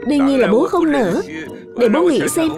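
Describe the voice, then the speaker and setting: An eager, hapless cartoon dad voiced by a woman with a high-pitched voice. He exclaims and encourages, easily flustered. Music plays behind him. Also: expressive, moderate pace. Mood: thoughtful. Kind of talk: animated cartoon dub.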